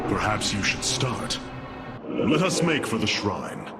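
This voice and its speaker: A proud alien warrior leader speaks in a formal, commanding manner. His phrasing is slow and deliberate, and his intonation rises and falls widely. Loud game music plays underneath. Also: fairly low pitch, wildly swooping pitch, exaggerated voice. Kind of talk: video game voice acting.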